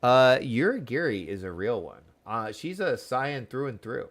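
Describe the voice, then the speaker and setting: A man's voice: mid-range voice, sing-song, unhurried. Informal enthusiastic man streaming a game. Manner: enthusiastic, informal slang, addresses viewers. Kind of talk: casual game stream chat.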